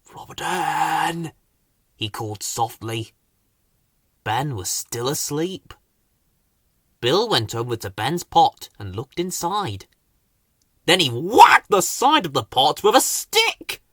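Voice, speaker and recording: Very animated male children's storyteller. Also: mid-range voice, takes it slowly, pauses often, no room sound, clean studio sound. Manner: dramatic, expressive. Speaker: storybook narrator. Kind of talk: scripted children's story narration.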